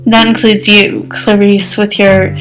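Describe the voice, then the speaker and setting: A woman's voice: mid-range voice, level tone, measured pace, close to an everyday voice. A woman playing a cold, snobbish middle-aged mother in an audio drama, talking in a curt, condescending way. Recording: music playing behind.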